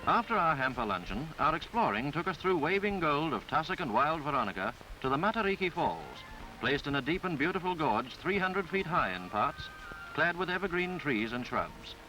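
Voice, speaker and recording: A man reading a scripted 1950s newsreel travelogue in a formal, florid, measured way. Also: on the high side, big pitch swings, poor audio. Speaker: newsreel narrator. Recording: 1950s film soundtrack with background music. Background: music.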